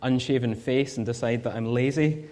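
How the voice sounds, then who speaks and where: A married Scottish man giving a sermon as a guest preacher, earnest and measured, with rhetorical questions. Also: mid-range voice, fairly even intonation, a little mellow, a little hiss or hum. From Scotland.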